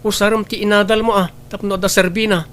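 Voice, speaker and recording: Man acting irritable, higher-voiced Ilocano husband. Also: level tone, fast, a bit bright, clean tone. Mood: irritated, scornful. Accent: Ilocano Filipino. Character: irritable, selfish young husband. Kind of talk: heartfelt audio drama acting.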